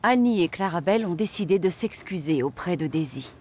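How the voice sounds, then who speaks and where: A woman's voice: on the low side, animated, brisk, lightly acted. A woman playing the storyteller of a children's train cartoon, reading scripted narration. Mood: storytelling. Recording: music behind the narration.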